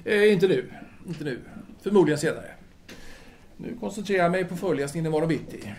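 A man's voice: fairly high pitch, lively intonation, a little mellow, slight background noise. A man playing a self-absorbed intellectual philosopher in an audio drama, speaking formally and hesitantly, wordy and absent-minded. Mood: rationalizing.

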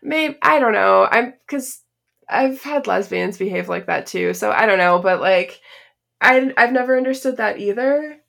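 Creaky-voiced woman chatting casually. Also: mid-range voice, moderate pace, fairly bright tone. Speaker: podcast co-host. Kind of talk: casual chat.

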